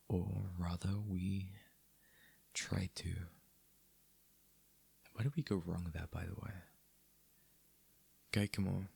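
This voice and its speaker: A man playing a wry, gentlemanly mafia boss in an intimate ASMR audio roleplay, with a husky, gravelly low voice and a dry, sardonic, conversational manner. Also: dry recording, heavily acted. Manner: dry, conversational, sardonic, addressing listener as doll.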